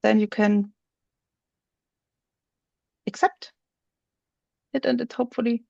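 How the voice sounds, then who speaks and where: A woman presenting on a video call, slowly walking through a software feature while she shows her screen. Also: fairly high pitch, steady tone, creaky, dry recording, dull audio. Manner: slow, explanatory, thinks aloud while showing her screen. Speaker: webinar presenter.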